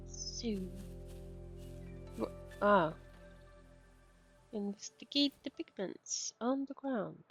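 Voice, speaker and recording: A woman's voice: medium pitch, expressive, very slow, vocal fry, long pauses. A woman game streamer giving casual commentary in short murmured reactions and reading dialogue aloud. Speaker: streamer playing a game as a VTuber. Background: game music.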